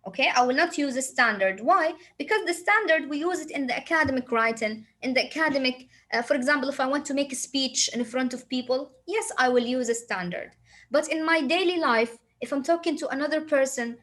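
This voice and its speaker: A woman's voice: sits high, fairly quick, a bit bright. A woman with a Saudi Arabic accent, a native Arabic speaker, explaining a lesson to her students and often asking rhetorical questions. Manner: repeats phrases, asks rhetorical questions, switches to Arabic. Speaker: teacher.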